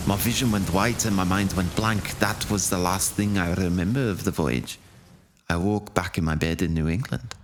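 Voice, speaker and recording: A haunted, down-on-his-luck sailor tells his story in an audio drama. The voice is low, brooding and reflective, with rain and thunder clearly behind it. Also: heavy background noise. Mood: haunted recollection. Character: haunted, down-on-his-luck former ship crewman narrating. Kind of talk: first-person audio drama narration.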